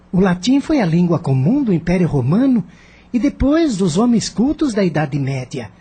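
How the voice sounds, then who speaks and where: A woman's voice: fairly high pitch, warm tone, slightly rough, heavily acted. A woman playing an earnest, idealistic teenage schoolboy who thinks aloud and questions things, in a radio drama. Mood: hopeful.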